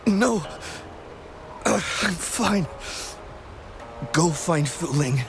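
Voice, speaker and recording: An actor playing a wounded young explorer in a video game, weak, strained and halting but stubbornly devoted. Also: male voice, a little high, animated, gravelly, a bit bright, full character voice. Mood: weak, insistent. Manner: halting, labored delivery. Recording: background music under the voice.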